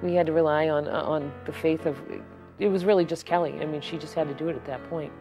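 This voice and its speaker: A middle-aged American woman with a low voice recalls things in an unscripted interview, speaking as a parent. Music plays clearly behind her. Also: steady tone, fast talker.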